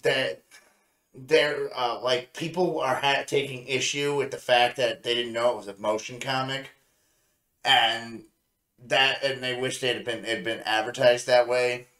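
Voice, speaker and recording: A man's voice: mid-range voice, fairly even intonation, a little slow, bright, forceful tone. A man co-hosting a review show, talking casually with blunt opinions, recorded in a roomy, echoey space. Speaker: podcast co-host reviewing anime.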